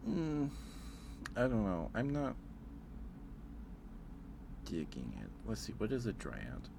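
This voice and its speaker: A man's voice: lowish voice, wildly swooping pitch, takes it slowly, gravelly, background noise. A man streaming ASMR in a casual, hesitant way, repeating his phrases as he thinks aloud. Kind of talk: ASMR livestream, casual chat ranking things.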